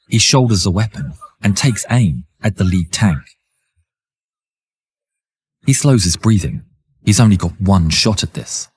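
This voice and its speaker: A man with a very rough, raspy voice narrates a war story. His pitch sits on the low side and moves around freely as he builds suspense. The delivery is scripted and theatrical, like a dramatic history documentary. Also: darkish tone.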